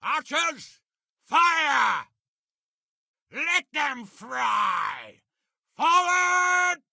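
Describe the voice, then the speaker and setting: A man acting as a gruff, hot-tempered, middle-aged medieval lord in a video game, with a raspy, gravelly voice and a blunt, blustery, commanding manner. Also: very high-pitched, big pitch swings, extremely slow, pushed and bright, no echo, studio quality, full character voice. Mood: commanding shout.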